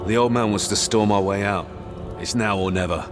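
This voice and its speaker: An actor playing a blunt, practical young warrior hero, speaking plainly and directly in a game cutscene. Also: male voice, fairly low pitch, fairly quick, over music, natural voice. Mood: determined. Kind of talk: video game cutscene voice acting.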